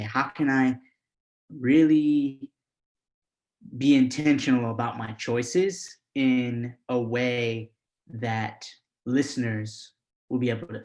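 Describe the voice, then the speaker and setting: Slow, deliberate man, medium pitch. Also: a little mellow. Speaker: actor. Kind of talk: interview reflection.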